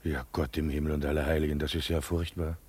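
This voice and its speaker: A man's voice: extremely low pitch, level tone, fast talker, darkish tone, breathy edge. An actor playing a sympathetic, appalled man listening to a tale in a radio play, exclaiming piously.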